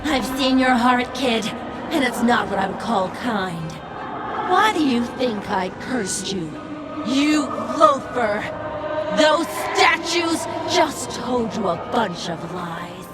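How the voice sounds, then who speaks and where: A power-hungry witch from a video game cutscene. The voice is fairly high and very drawn out, haughty and theatrical, over loud music. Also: expressive. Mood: scornful, menacing. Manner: scornful, theatrical, drawn-out menace. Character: haughty power-hungry evil witch who curses mortals.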